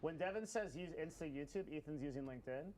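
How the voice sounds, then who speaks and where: Excitable young man chatting over game gunfire. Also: a little high. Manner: excitable, halting, repeats himself. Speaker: livestreamer. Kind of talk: casual chat on a game stream. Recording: clear gunfire and game noise. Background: video game gunfire.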